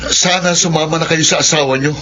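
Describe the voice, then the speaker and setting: A man's voice: on the high side, fairly even intonation, a little fast, sharp tone. A man playing a bitter, proud eldest son, cold and confrontational, in a Filipino radio drama. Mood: resentful. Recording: muffled, noisy radio broadcast.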